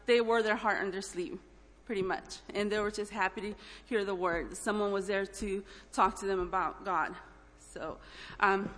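A woman from El Salvador giving an informal church testimony in a halting, gently earnest, emotional way. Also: mid-range voice. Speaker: church mission trip member giving a testimony. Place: large indoor church hall, speaking up front. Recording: echoey hall with long reverb.

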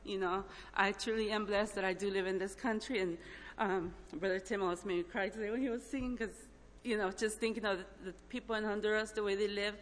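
Halting, emotional woman in an echoey hall. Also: mid-range voice, fairly even intonation. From El Salvador. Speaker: church mission trip member giving a testimony. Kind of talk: informal church testimony. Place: large indoor church hall, speaking up front. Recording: echoey hall with long reverb.

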